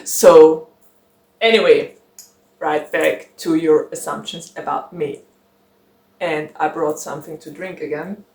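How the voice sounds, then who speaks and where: Young woman chatting slow and giggly, lots of ums, roomy echo. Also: sits low, a little mellow. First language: German. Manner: chatty, giggly, rambling with many fillers. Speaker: book channel host. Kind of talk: casual chat to camera.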